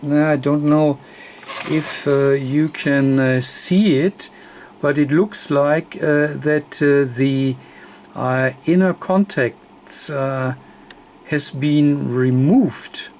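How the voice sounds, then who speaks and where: A man talks through a hands-on technical check in a medium-pitched voice. His delivery is extremely slow and halting, broken into short pieces as he thinks aloud. Faint noise sits behind the voice. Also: a little mellow. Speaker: electronics repair host. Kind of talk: unscripted technical demonstration.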